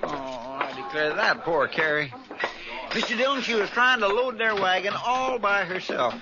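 An actor playing a marshal's earnest, fretful sidekick in a 1950s radio western, folksy and deferential. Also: male voice, sits high, lively intonation, moderate pace, a crowd in the background. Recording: dull, noisy old radio recording.

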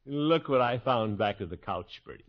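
A man's voice: medium pitch, lively intonation, normal speed, faint music behind, close to an everyday voice. A man playing a blustery, doting middle-aged American uncle in a 1950s radio sitcom. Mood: wistful, exasperated. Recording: dull 1950s radio broadcast.

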